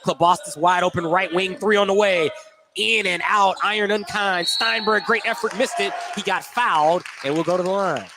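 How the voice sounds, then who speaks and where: Male, higher-pitched voice, radio basketball play-by-play, clipped descriptive calls, clear gym noise and bouncing ball. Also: normal speed. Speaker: play-by-play announcer. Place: indoor basketball gym during a game.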